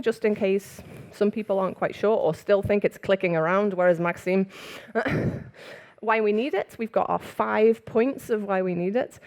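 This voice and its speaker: Liverpool woman on stage at a conference, chatty, quick when excited, stage mic. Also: mid-range voice. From England. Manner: fast when excited, laughs often, chatty. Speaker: conference speaker. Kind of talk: conference talk. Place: conference room with audience.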